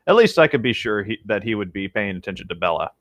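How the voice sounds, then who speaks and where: A man's voice: fairly low pitch, animated, quick pace, a bit bright, no echo. A man co-hosting a read-along show, moving between casual chat and reading a story aloud.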